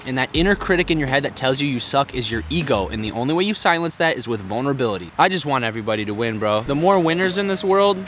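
A man's voice: a little high, fairly bright tone, slight background noise. A young man vlogging to camera in a city park, talking bluntly and swearing a lot, mocking himself as he goes. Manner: crude, blunt, self-mocking, heavy swearing. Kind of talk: casual vlog talk to camera.

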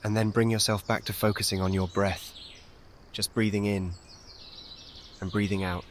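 A man with a fairly low voice leads a guided meditation in a calm, measured way. His short instructions are gentle and soothing. Faint birdsong and outdoor nature sounds sit behind him. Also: slight background noise. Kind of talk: guided meditation, scripted narration.

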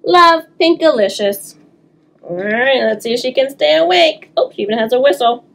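A woman's voice: on the high side, animated, brisk, gravelly. A middle-aged woman doing a children's story time, reading aloud expressively with teacherly asides.

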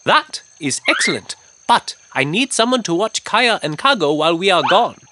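A man's voice: high voice, sing-song, normal speed, noisy background. A man voicing an earnest, brave young boy who keeps rhinos in a children's cartoon.

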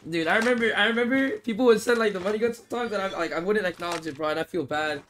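A young man with a fairly high voice talks in a relaxed, rambling way while he plays, answering chat between rounds. Game sounds and clicks stay clearly audible behind his headset mic. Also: racing. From Philippines. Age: young adult. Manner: laid-back, rambling, reads chat aloud, swears casually. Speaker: mobile game streamer. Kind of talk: casual livestream chat while playing. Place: small room indoors. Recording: game audio noise behind voice.

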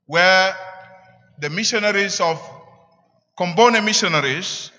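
A middle-aged man with a South Sudanese accent preaches a sermon deliberately, in short emphatic phrases, at a church lectern. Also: sits high, pushed and bright. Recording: echoing church, slightly dull sound.

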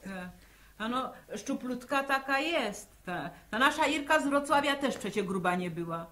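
A woman's voice: high voice, animated, moderate pace, fairly bright tone. An actress playing a practical, plain-spoken farm housewife and mother, with homely phrasing, in a 1960s Polish radio serial. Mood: firm, dismissive. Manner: matter-of-fact, homely, folksy phrasing.

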